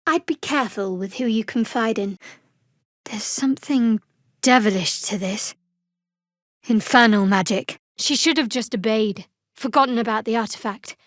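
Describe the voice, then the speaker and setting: An actress playing a guarded, sardonic young female cleric of a dark goddess in a video game, speaking in a dry, measured, wry way. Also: on the high side, no echo, natural voice. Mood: stern, measured. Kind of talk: video game character dialogue.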